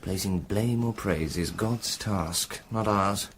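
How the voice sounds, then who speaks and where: Firm, commanding leader. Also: male voice, fairly low pitch, fairly bright tone, a little hiss or hum. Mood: firm reassurance. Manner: brisk, decisive, firm. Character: commanding, sensible man of authority, kindly firm. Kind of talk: period radio drama acting.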